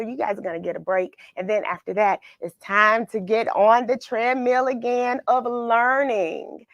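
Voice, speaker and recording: Female children's host, American, slightly high voice, leisurely delivery, encouraging and direct with young viewers. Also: a bit bright, dry recording. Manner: encouraging, question-asking, addresses children directly. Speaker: children's read-along host. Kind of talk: chatty livestream greetings and story reading for children.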